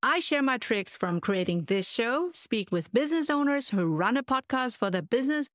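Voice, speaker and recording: A woman's voice: fairly high pitch, lively intonation, normal speed, fairly bright tone, no room sound, clean studio sound. A woman hosting a podcast, reading her intro and welcoming a guest with enthusiasm. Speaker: podcast host. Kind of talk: scripted intro and interview.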